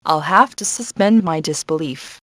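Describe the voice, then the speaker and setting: A woman's voice: low voice, normal speed, a bit bright, no room sound. A brave adventurer woman from a video game, who guides heroes with upbeat confidence. She is sassy and slips in wry asides. The sound is clean and dry, with no room around the voice. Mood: confident, wry. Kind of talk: video game character voice lines.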